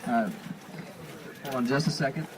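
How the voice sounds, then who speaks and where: A man speaking as a meeting chair outdoors, in formal procedural language with a deliberate, halting delivery. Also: mid-range voice, steady tone, background noise. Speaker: convention chair running a meeting. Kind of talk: formal parliamentary procedure, announcing to an assembly. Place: outdoors at a parking lot gathering.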